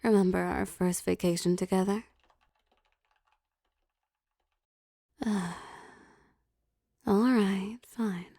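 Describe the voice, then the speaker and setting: A woman playing a possessive, doting, wealthy vampire boss in intimate roleplay audio, soft and teasing, often slipping into whispers. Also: mid-range voice, gravelly, dry recording, studio quality. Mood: apologetic, coaxing. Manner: slow, teasing, pampering, softly commanding.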